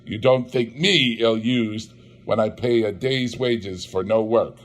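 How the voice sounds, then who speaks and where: A man's voice: lowish voice, takes it slowly. A man putting on a snapping miserly old Scrooge. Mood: grudging. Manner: snapping, clipped and sharp. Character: miserly bitter old man, curt and scornful.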